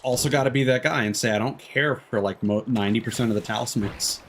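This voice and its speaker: A man's voice: medium pitch, fast, slight background noise. A man doing casual live game commentary, rambling candidly and swearing offhandedly. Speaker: game streamer. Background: game music.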